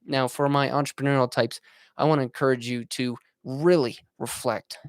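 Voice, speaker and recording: A man's voice: medium pitch, fairly even intonation, normal speed, clear, resonant tone, no room sound. Earnest young man, dry close sound. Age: 20s. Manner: earnest, motivational, many fillers and restarts. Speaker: podcast host. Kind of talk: casual monologue.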